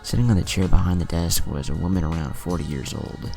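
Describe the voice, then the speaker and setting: Male story narrator, extremely low and creaky, scripted read over clear background music. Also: fairly even intonation, fairly quick. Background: music under the voice.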